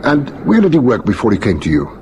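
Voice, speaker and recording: An actor playing a polite, probing police lieutenant in a vintage radio drama, on a faintly noisy recording. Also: male voice, medium pitch, huge pitch swings, fast talker, a little mellow. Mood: probing, businesslike. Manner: hesitant, courteous questioning.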